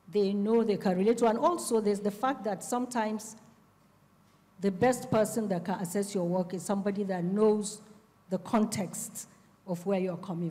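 A middle-aged woman with a Nigerian accent speaking as a panelist, measured and pausing deliberately, into a handheld microphone on a stage. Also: mid-range voice, dark tone. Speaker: panelist, publisher and literacy advocate. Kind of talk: panel discussion talk. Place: on stage in a festival hall. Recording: reverberant hall sound.

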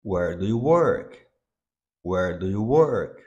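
A man's voice: low-pitched, lively intonation, very slow, mellow, muffled recording. A man teaching English to Portuguese speakers, giving each phrase in Portuguese and then saying the English twice. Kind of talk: slow bilingual drill lesson.

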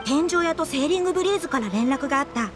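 A woman's voice: sits high, expressive, racing, music in the background. A woman voicing a dutiful, businesslike publishing employee in a Japanese anime game, giving formal reports.

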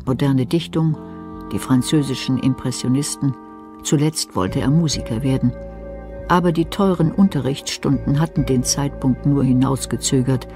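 A man's voice: mid-range voice, moderate pace, music in the background. A man reading scripted narration for a German radio play.

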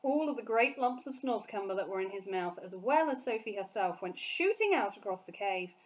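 A woman teacher reading a children's storybook aloud, clear and expressive. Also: medium pitch, moderate pace, low-quality recording.

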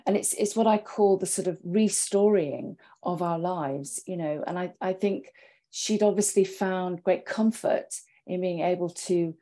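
Mellow, lower-voiced middle-aged woman. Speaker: panelist. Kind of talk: reflective discussion. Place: home study, indoors. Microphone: wired earphones on a video call.

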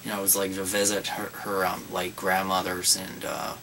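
Rambling young man, lowish voice. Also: monotone, moderate pace, sharp tone, distant mic, slight background noise. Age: young adult. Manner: rambling, many fillers and restarts. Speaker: horror channel co-host. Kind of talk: casual movie discussion. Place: small indoor room with horror posters.

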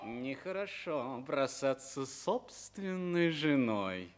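An actor playing a suspicious, bossy chief of harem guards in a comic radio play, questioning and commanding. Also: male voice, medium pitch, big pitch swings, takes it slowly. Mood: suspicious, gloating.

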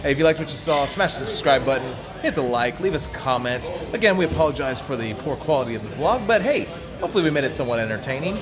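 A man's voice: mid-range voice, lively intonation, quick pace, over music. A man in his thirties co-hosting a golf vlog, giving playful commentary with mock sportscaster asides in an indoor golf simulator bay.

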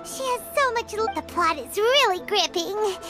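A woman voicing a tiny, bubbly childlike fairy companion in a video game, with a squeaky, chirpy, exclamatory delivery. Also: lively intonation, moderate pace. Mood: eager. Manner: chirpy, exclamatory, speaks of herself in third person. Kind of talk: video game character acting. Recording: loud background music.

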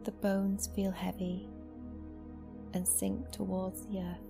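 Softly creaky, even-toned woman guiding meditation. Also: medium pitch. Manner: slow, soothing, gentle instruction with long pauses. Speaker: yoga nidra teacher. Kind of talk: guided meditation. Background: new-age music.

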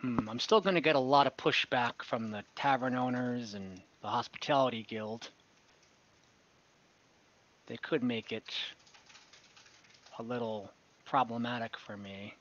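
A friendly, businesslike merchant, voiced by a man running the game talks shop in an easygoing way. The voice is bright and forward. The call audio is dull and lo-fi. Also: medium pitch, a little slow, pauses often, close to an everyday voice. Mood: genial. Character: friendly, businesslike male merchant who owns a port.

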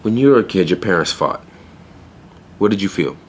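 An actor playing a wry, blunt young pet store clerk in an audio play, deadpan and sardonic. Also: male voice, sits low, brisk, a little hiss or hum. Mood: firm, frank. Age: young adult. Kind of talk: naturalistic audio play acting.

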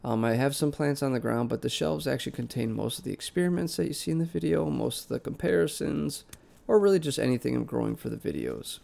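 Sick, nasal man with gravelly voice. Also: medium pitch, quick pace. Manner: relaxed, rambling, conversational. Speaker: plant channel host. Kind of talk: casual voice-over vlog narration. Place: basement plant room.

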